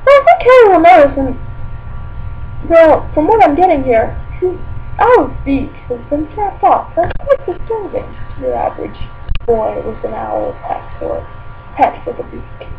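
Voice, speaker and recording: Lady doing a dreamy oddball witch girl, high voice, politely curious and rambly comic story reading, sounds like a tinny phone recording. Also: huge pitch swings, measured pace, creaky, slight background noise, heavily acted. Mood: curious, detached. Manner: airy, musing, rambling asides. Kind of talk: in-character comic reading of fan fiction.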